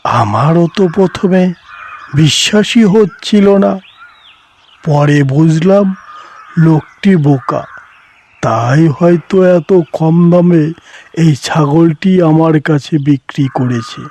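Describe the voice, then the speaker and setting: Simple rustic villager for cartoon horror story, male actor, very high and mellow, exclaiming. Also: takes it slowly. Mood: pleased, relieved. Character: simple village men in a goat deal, seller and farmer.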